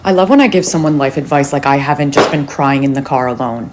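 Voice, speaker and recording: A woman's voice: rumbling low, quick pace, noisy background. A woman telling confessional comedy in a self-deprecating, conversational way. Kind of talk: stand-up style confessional comedy.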